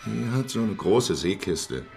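A man's voice: sits low, very animated, a little slow, creaky, music in the background, heavily acted. An actor playing a naive, trusting and stubborn man who has been swindled, earnest and defensive, in a German radio crime drama.

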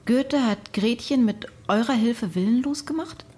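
An actress playing a sober female doctor who asks measured, probing questions in a radio drama. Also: on the high side, warm tone, a little hiss or hum, close to an everyday voice. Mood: probing, sceptical. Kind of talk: German radio drama dialogue.

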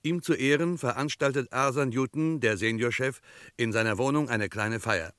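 A man's voice: medium pitch, normal speed, fairly bright tone, clean tone, no echo, clean studio sound. A man narrating a formal, scripted recap in the manner of 1960s German radio drama. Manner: formal, precise. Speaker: radio drama director and announcer.

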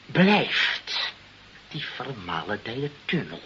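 Pompous, animated director. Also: male voice, medium pitch, exaggerated voice. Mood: worried, halting. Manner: pompous, halting, repeats himself. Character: blustering, self-important male director seeking face-saving deals. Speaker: actor. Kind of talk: radio play acting. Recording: muffled old radio recording, light noise.

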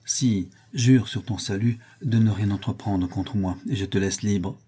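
A man's voice: on the low side, measured pace, dark tone, breathy edge. A man voicing a cruel, imperious noblewoman, speaking in a cold, commanding way in a raised voice.

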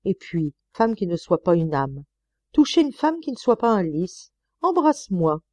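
A woman's voice: lowish voice, big pitch swings, moderate pace, darkish tone, no room sound. A woman playing a peevish, pleading snob of a husband. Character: peevish snobbish middle-aged husband. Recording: slightly muffled dry recording.

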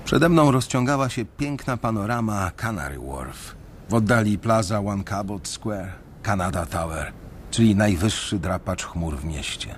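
A man's voice: fairly low pitch, a little slow, a little hiss or hum. A man narrating a radio drama in the first person, tense and brooding. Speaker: narrator and lead character.

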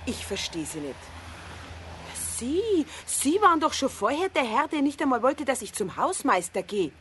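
Casting: reproachful Bavarian bystander, rather high, lively pitch, 1970s radio drama with clear background noise. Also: female voice, normal speed. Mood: indignant. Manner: challenging, reproachful. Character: indignant, insistent passerby woman concerned for animals. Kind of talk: 1970s German children's radio play acting. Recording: noisy old radio play recording.

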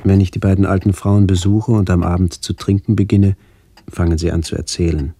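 A man playing an older narrator who recalls his village childhood in a radio play, measured and reflective, with a gravelly voice. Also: low-pitched, level tone, dark tone, a little hiss or hum. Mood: somber, remembering. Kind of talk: literary first-person radio play narration.

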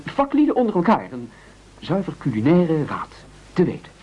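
A man's voice: a little high, wildly swooping pitch, moderate pace, darkish tone. An actor in a radio play playing a frightened, evasive castaway who stammers, fusses and ingratiates himself as he pleads. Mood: nervous bargaining. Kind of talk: absurdist radio play acting. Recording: muffled old radio play recording.

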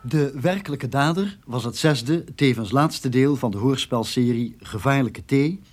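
A male announcer reads radio drama credits in a scripted style. His voice is mid-pitched, with faint music underneath. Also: measured pace.

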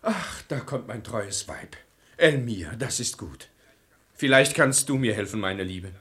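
Indignant, sharp-voiced household father. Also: mid-range voice, lively intonation, measured pace. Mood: helpless anger. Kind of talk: theatrical verse radio play acting.

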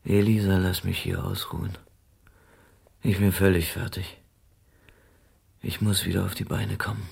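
A man's voice: deep voice, level tone, unhurried, creaky, long pauses, darkish tone, breathy edge, exaggerated voice. A man acting in a German radio drama as an exhausted, hunted private detective, weary, terse and brooding. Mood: weary, bitter.

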